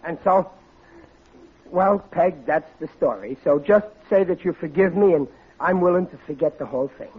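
A man's voice: a little high, moderate pace, soft background music, natural voice. An American man acting a blustering, gullible working-class husband in a 1940s radio comedy, prone to stammering and folksy grammar. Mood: sheepish. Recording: muffled old radio recording, studio audience, hiss.

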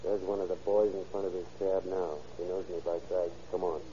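A man's voice: deep voice, little pitch movement, brisk, dark tone, lightly acted. An actor in an old radio crime drama playing a keen, daring policeman who disguises himself as a tramp. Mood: wry, cautious. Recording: dull, hissy old radio recording.

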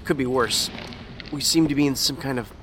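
An actor playing a calm, dry-witted male investigator in a science fiction audio drama, musing in an understated, deadpan way. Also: mid-range voice, brisk, a little hiss or hum, natural voice.

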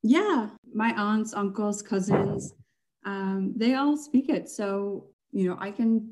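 A woman's voice: mid-range voice, slow pace, noisy background. A young woman chatting as a guest in an interview, laughing often and using many fillers. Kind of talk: casual interview chat.

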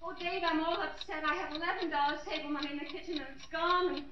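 An actress playing a nervous, fretful housewife in a 1940s radio drama. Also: female voice, high voice, steady tone, quick pace, highs cut off, close to an everyday voice. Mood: upset. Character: anxious, flustered young housewife, earnest and worried. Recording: distant, noisy, echoey 1940s radio sound.

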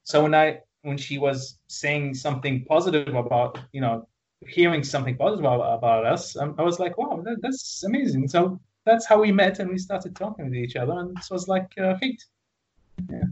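A man from Iraq, a guest on a remote interview, telling a story in a halting, laughing way with many restarts. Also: on the high side, animated, dull audio.

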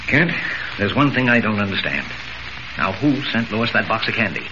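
An actor playing a gruff, sputtering middle-aged newspaper editor in a 1940s radio serial, demanding and repeating his words. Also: on the low side, big pitch swings, moderate pace. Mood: alarmed. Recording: noisy, muffled vintage radio recording.

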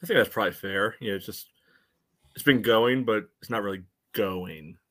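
Low voiced guy but sharp and bright, sports pod chat, halting phrases. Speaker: sports podcast co-host. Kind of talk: casual sports analysis chat.

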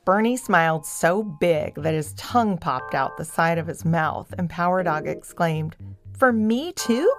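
A woman narrates a children's story with expressive delivery. Her pitch sits a little low, and faint music plays behind her. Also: a little slow, few pauses.

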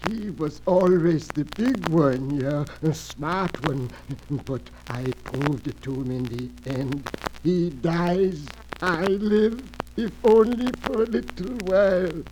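A high-voiced, wounded villain pleads in halting, foreign-accented English. He is desperate and defeated, drawn out in melodramatic radio style, on a noisy vintage recording. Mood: bitter, boastful. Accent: foreign, non-native English. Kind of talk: old-time radio drama acting.

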